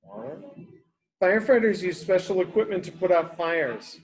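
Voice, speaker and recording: A man's voice: high voice, moderate pace, rough recording. A man reading a picture book aloud to young children, explaining in simple, repeated words and asking questions as a teacher does.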